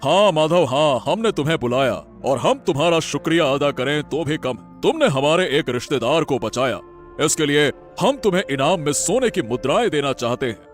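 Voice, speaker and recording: Gentle king, played by a man, formal, measured, reassuring, slightly raised pitch, children's story drama. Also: animated, soft background music, lightly acted. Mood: gracious, thoughtful.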